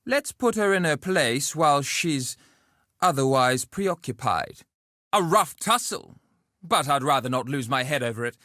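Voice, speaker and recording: An actor playing a cocky, loquacious duelist and schemer in a video game, with a wry, flippant, theatrical delivery. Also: male voice, a little high, lively intonation, unhurried, bright, forceful tone, dry recording, studio quality. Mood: rueful, resigned.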